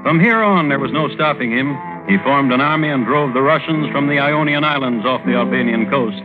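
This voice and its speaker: An actor playing a droll crime historian, narrating as host in a wry, deadpan 1950s radio style. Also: male voice, mid-range voice, a little fast, natural voice. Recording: phone-like old radio, music behind.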